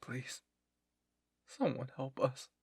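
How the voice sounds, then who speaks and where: A man's voice: medium pitch, fairly even intonation, takes it slowly, vocal fry, slightly rough, exaggerated voice. A man voicing an anxious, guilt-ridden young male soldier in an anime fan-fiction reading, pleading and emphatic. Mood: anguished pleading.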